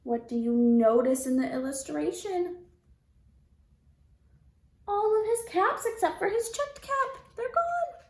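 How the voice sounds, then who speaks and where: A woman teacher reading a storybook aloud to young children in an expressive, deliberate way. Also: very high-pitched, reverberant. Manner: deliberate, expressive, prompting listeners with questions.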